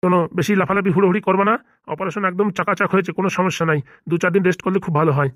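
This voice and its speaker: Very rapid male cartoon doctor, acted by a man, informal, familiar, sentimental, comic dub, dry close recording. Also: sits high, steady tone, no room sound, close to an everyday voice. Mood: bossy, reassuring. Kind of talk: comedy cartoon dubbing in colloquial dialect.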